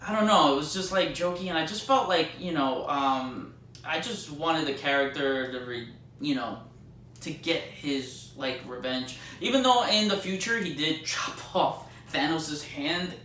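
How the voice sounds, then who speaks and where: A man giving a casual movie review as a vlogger, talking like an excited fan with plenty of slang. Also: fairly high pitch. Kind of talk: casual spoiler-filled movie review chat. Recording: echoey room, distant mic, faint noise.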